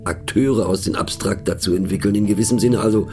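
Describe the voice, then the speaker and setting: A man narrating a satirical radio play as a pensive, mocking monologue, musing conversationally with halting, emphatic phrasing. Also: fairly low pitch, over music. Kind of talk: scripted monologue performed as musing conversational talk.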